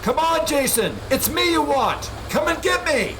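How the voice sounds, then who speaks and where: A man's voice: extremely high pitch, very animated, a little slow, noisy background, full character voice. A man playing a desperate, defiant young horror hero in an amateur radio play, urgent and strained. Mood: shouted taunting.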